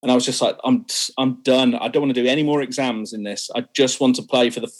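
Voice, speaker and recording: A man's voice: mid-range voice, steady tone, fast talker. A young man, a singer, talking candidly about his music in an interview, self-deprecating and laughing often. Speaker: singer guest. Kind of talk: interview conversation.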